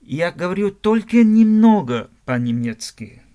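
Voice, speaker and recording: A man from Germany, teaching a language lesson slowly, spelling words out letter by letter and repeating phrases. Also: sits high, very animated, dark tone.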